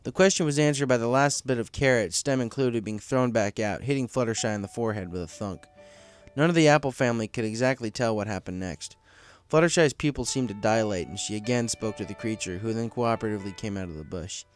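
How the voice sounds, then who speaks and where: A man's voice: on the low side, fast talker. Man narrating a story over faint music. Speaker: story reader. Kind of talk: scripted narration.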